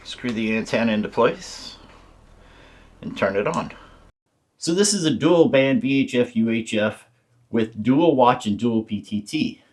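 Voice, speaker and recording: A man's voice: medium pitch, unhurried, pauses often. A man giving a casual hands-on product tutorial, explaining things informally step by step as a reviewer. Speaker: radio reviewer.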